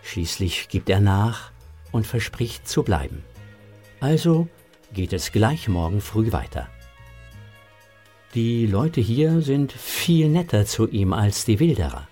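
Unhurried male children's story narrator. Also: fairly low pitch, big pitch swings, mellow, breathy edge, faint music behind.

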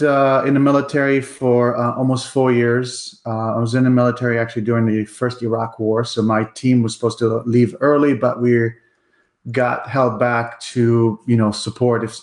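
A middle-aged man with an Israeli accent, speaking at an ordinary pitch for a man. He tells his story as a guest in a relaxed, halting way, filling pauses with ums and uhs. His voice has a roomy echo around it. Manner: relaxed, many ums and uhs, pace varies. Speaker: guest, company president. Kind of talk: casual interview.

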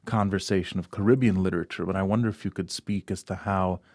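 A man interviewing a guest, asking careful, deliberate questions. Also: low voice, steady tone, darkish tone, no room sound, studio quality.

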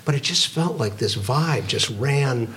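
A man's voice: medium pitch, expressive, unhurried, fairly bright tone. A man hosting a casual interview, talking in long rambling turns full of restarts and friendly banter. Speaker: podcast host.

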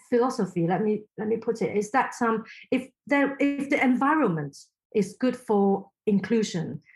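A woman's voice: fairly high pitch, darkish tone, low-quality recording. A woman from Hong Kong whose first language is Chinese, answering interview questions thoughtfully with frequent restarts. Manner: thoughtful, halting, many restarts. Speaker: podcast guest.

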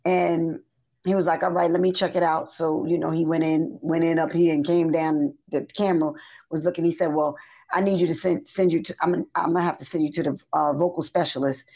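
Chatty hoarse woman singer. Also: low-pitched, fairly quick. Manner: chatty, animated storytelling with restarts and fillers. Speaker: singer guest. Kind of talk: casual interview chat. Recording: dull lo-fi audio.

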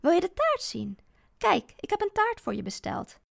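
Woman doing a shrill, desperate mother in an audiobook; gravelly, dry. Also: huge pitch swings, normal speed, a bit bright, no room sound, exaggerated voice. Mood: anxiously coaxing. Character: frantic, unstable young mother clinging to her child. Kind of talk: audiobook character voice.

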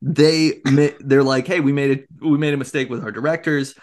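A man co-hosting a casual film discussion, retelling things in an animated way with sarcastic asides and mock quotes. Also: mid-range voice, a little fast. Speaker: podcast co-host.

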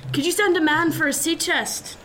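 A woman's voice: extremely high pitch, fairly even intonation, moderate pace, fairly bright tone, slight background noise. A woman playing a level-headed, dryly witty young male officer in a period seafaring radio drama, with formal nautical phrasing. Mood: brisk, courteous.

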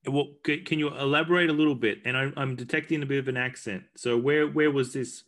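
A man's voice: mid-range voice, steady tone, a little fast, fairly bright tone. Eager male podcast host. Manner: eager, repeats words when starting questions. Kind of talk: casual interview questions.

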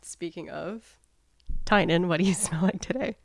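A woman co-hosting a podcast in casual chat, curious and full of quick questions. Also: on the low side, gravelly, no echo. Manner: curious, asks lots of quick questions, reacts with laughs and gasps. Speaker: podcast co-host.

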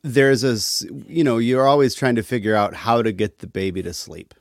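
A man talking casually as a podcast guest, thoughtful and halting, with a wry streak. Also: medium pitch, expressive, no room sound, clean studio sound. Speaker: interview guest, musician.

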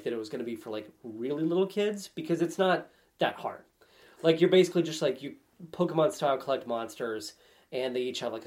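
A man's voice: mid-range voice, darkish tone. Wry man chatting casually about games. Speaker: podcast guest.

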